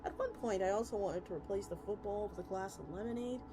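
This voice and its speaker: Lower-pitched woman streaming over music. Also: quick pace. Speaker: VTuber streamer. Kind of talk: livestream reading a story aloud, chatting with viewers. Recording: music playing behind the voice.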